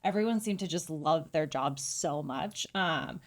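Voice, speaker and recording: A middle-aged woman from California recounts her path into hairdressing, her voice sitting a little lower than most. She talks in an easygoing, rambling way, with plenty of "um"s and soft giggles. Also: unhurried. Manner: relaxed, chatty, many fillers and giggles. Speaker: hairdresser guest. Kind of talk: casual interview storytelling.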